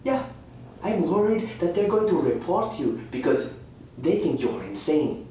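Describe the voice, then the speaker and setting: A man playing a smug, manipulative houseguest in a radio thriller, measured, falsely reasonable and condescending. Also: fairly high pitch, very animated, warm tone. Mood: aggrieved, accusing. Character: smug, manipulative male houseguest who refuses to leave. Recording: roomy, distant, faint background noise.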